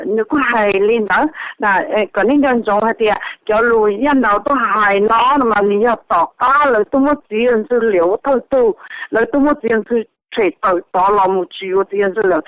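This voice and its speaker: A woman's voice: medium pitch, no echo. A woman talking casually as a participant on a conference call. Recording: dull lo-fi telephone line.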